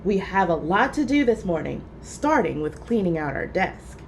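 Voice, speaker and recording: A woman voicing a kindly, cheerful primary school teacher in a storybook, encouraging and gently instructing. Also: fairly low pitch, very animated, normal speed, slight background noise.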